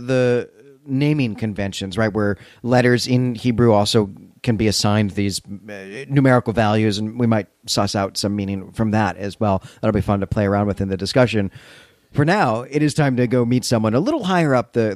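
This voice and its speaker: A man's voice: fairly low pitch, fairly quick, no room sound, studio quality. A man co-hosting a podcast, explaining and recapping literature in an articulate, engaged, conversational style. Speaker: podcast co-host.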